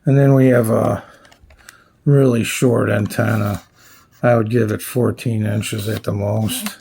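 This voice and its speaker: A man giving a casual, unscripted product walkthrough as a reviewer, plainspoken and halting as he thinks aloud. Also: lowish voice, flat delivery, mellow, slight background noise. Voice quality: gravelly, husky. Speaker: radio reviewer presenting his channel.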